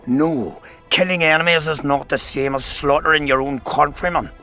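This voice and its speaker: Bitter, forceful soldier father. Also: a little high, moderate pace. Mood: heated, grim. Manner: bitter, fatalistic. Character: bitter, despairing middle-aged soldier father pleading for son. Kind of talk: video game voice acting. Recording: music behind the voice.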